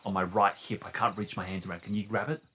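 A man's voice: lowish voice, steady tone, fast, a bit bright, a little hiss or hum, close to an everyday voice. A man improvising a rambling, oblivious hiker who over-explains every procedure in an audio roleplay. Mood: confused, defensive.

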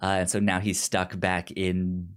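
A podcast host with a noticeable stutter, repeating sounds and syllables while casually retelling a film plot in an animated, acted-out way. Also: low voice, unhurried.

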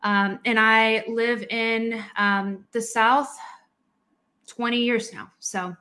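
A woman's voice: on the high side, fairly even intonation, slow, sharp tone. Podcast co-host, woman, middle-aged, Spanish native speaker, friendly chat, lots of ums. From Colombia.

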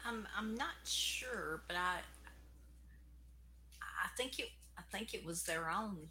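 A woman's voice: mid-range voice, slow pace, pushed and bright, slight background noise. A woman hosting a radio show in casual, somewhat hesitant conversation. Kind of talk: casual chat.

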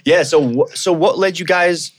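A man's voice: high voice, steady tone, moderate pace, no room sound, clean studio sound. A man hosting a casual interview, asking upbeat questions with frequent fillers. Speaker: host.

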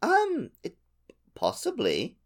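A man's voice: very high-pitched, huge pitch swings, takes it slowly. A man co-hosting a gaming podcast in casual conversation. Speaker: podcast co-host. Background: prominent plucked-guitar music.

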